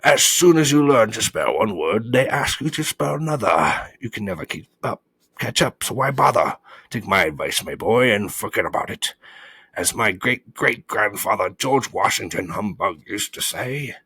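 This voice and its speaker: A man's voice: lowish voice, expressive, moderate pace, sharp tone, breathy edge, dry recording, voice pushed up, full character voice. A man voicing a pompous, blustering old bug who makes grandiose, boastful speeches in a storybook. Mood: dismissive.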